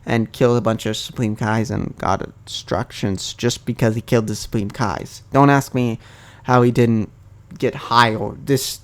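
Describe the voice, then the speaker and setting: A young man from the United States talking casually through a fan theory, rambling with many fillers and restarts. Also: mid-range voice. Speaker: YouTuber.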